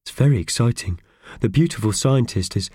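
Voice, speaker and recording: A man voicing a mild, slightly slow-witted male friend in a children's audiobook, gently puzzled. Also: mid-range voice, slightly rough, no echo, studio quality, close to an everyday voice.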